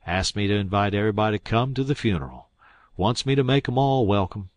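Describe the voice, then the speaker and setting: A man performs a smug old swindler from a storybook, posing as a gentleman. The voice is low and the talk is long-winded, pompous and mock-scholarly, with folksy grammar. The sound is dry. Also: expressive, fast talker, no echo, lightly acted. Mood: pious, smooth.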